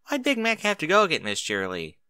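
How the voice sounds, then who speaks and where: A man's voice: on the high side, huge pitch swings, a little fast, pushed and bright, smooth, voice pushed up, full character voice. A man voicing a little filly, a pestering kid sister with a whiny, childlike manner, in an audiobook story. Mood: complaining, nosy.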